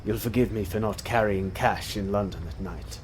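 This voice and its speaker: An actor playing a cold, menacing Victorian gentleman scientist in a stage drama, clipped, curt and contemptuous. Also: on the low side, moderate pace, a little hiss or hum, close to an everyday voice. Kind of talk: theatrical stage drama acting.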